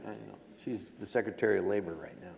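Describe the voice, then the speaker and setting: A man's voice: lowish voice, fast, vocal fry. A man on a panel speaking in a playful, teasing way. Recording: echoey hall with loud crowd and noise.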